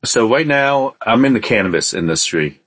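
A man's voice: medium pitch, lively intonation, normal speed, fairly bright tone, husky, no room sound. A man from Vietnam who moved to the US as a child, telling his story casually as an interview guest, hesitating often. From born in Vietnam, in the US from age ten. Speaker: podcast guest, entrepreneur.

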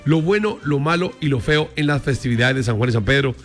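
Storytelling radio co-host. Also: male voice, mid-range voice, brisk, fairly bright tone, soft background music. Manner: storytelling, asks listeners questions. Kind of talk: morning radio talk.

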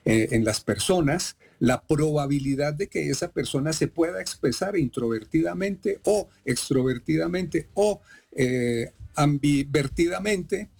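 A man's voice: fairly high pitch, lively intonation, measured pace, vocal fry, darkish tone, breathy edge. A middle-aged man from Colombia with a Bogotá accent, a doctor explaining at length and with emphasis as an interview guest. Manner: lecturing, emphatic, digressive.

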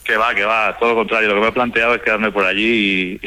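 A man's voice: on the low side, flat delivery, fast, over a noisy crowd. A man from Spain with a Spanish accent, talking chattily as a guest in a casual radio interview and often seeking agreement. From Zamora, Spain.

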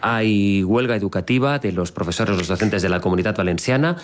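A man's voice: on the low side, brisk. A man whose first language is Catalan, hosting a casual radio chat and walking through the news point by point with a teasing edge. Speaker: radio host.

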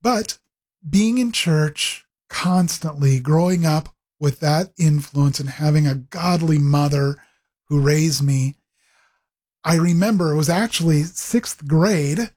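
Husky-voiced middle-aged man, rambling storyteller. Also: on the high side, slow pace, a little mellow. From Pacific Northwest, USA. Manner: rambling with many fillers and restarts. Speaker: guest, ministry executive director. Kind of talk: conversational interview storytelling.

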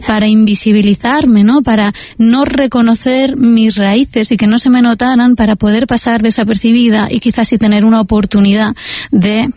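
A woman's voice: a little high, moderate pace, dry recording. A woman from Jaén with no regional accent, speaking candidly and expressively as an interview guest. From Jaén, Andalusia, Spain. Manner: candid, expressive, laughs easily. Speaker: musician guest. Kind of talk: interview answers.

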